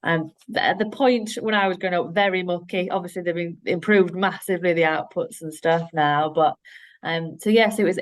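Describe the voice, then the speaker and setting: Yorkshire woman late 30s, bit low voice, blunt and practical, lots of ums, podcast guest. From Yorkshire, England. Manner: direct, practical, frank, with fillers. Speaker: guest, head of operations. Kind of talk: podcast interview, casual chat.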